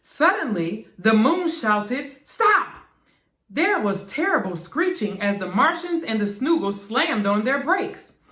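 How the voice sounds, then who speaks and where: A woman reading a children's bedtime story aloud as an expressive narrator. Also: a little high, unhurried, hardly any pauses. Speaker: storybook reader. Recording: echoey room.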